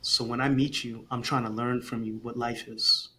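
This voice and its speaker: A man's voice: medium pitch, little pitch movement, normal speed, sharp tone, slight background noise. A man guest on a casual podcast, talking earnestly and expressively, often repeating a phrase while he gathers his thoughts. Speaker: guest, communication coach for parents.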